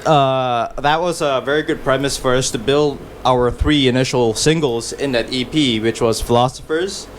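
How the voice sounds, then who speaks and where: A man's voice: medium pitch, steady tone, moderate pace, slight background noise. A man born in Malaysia, telling a story in casual chat, laid-back, with many fillers and restarts and a wry sense of humor. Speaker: musician guest.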